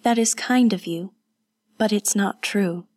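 Een vrouw die speelt een gretige jonge edelvrouw. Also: female voice, mid-range voice, unhurried, darkish tone, no echo, lightly acted. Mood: eager. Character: sheltered young noblewoman, eager and curious.

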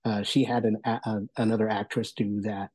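A man's voice: lowish voice, flat delivery, brisk, darkish tone. A man giving an enthusiastic, rambling conversational review as a guest on a talk show. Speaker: guest reviewer of audio entertainment.

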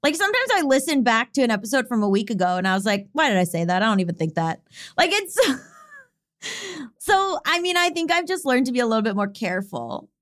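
A woman co-hosting a podcast in casual chat, with a fast, intense delivery that sounds like she is arguing even when she is just talking. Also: fairly high pitch. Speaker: podcast co-host.

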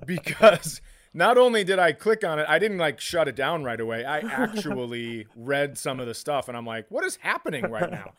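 A man's voice: a little high, big pitch swings, normal speed, a bit bright, no room sound, clean studio sound. A man co-hosting a comedy podcast, telling stories casually with crude, exasperated rants. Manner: crude, profane, exasperated ranting.

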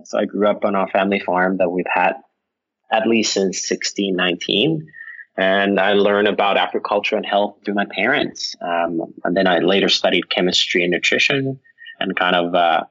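A man's voice: low voice, moderate pace, fairly bright tone, highs cut off. A man from Finland, a company founder speaking as a guest in a casual interview. He rambles a little, with many fillers and restarts. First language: Finnish.

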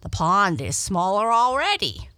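Woman voicing a fussy talking storybook frog, bright-toned. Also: mid-range voice, very animated, a little slow, no room sound. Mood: encouraging. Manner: formal, complaining, bossy. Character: talking male frog, fussy, grumbling, excitable. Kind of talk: children's storybook character voice.

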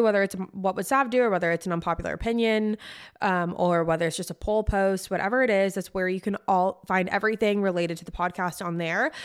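A young woman hosting her own podcast, chatting bubbly and casually, often repeating words for emphasis. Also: mid-range voice. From East Coast of the US. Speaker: podcast host. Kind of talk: casual chat.

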